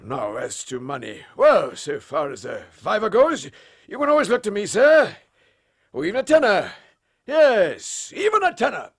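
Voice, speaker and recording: Irascible old English lord, miserly and pompous, gravelly, wildly swooping delivery, period detective drama. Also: male voice, high-pitched, wildly swooping pitch, normal speed, full character voice. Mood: grudging. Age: older. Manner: indignant, pompous, haughty.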